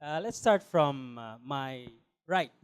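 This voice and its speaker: A man's voice: medium pitch, lively intonation, takes it slowly, clear, resonant tone. Hesitant, welcoming male studio host. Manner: hesitant, many fillers, welcoming. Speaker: talk show host. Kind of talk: studio panel talk show hosting.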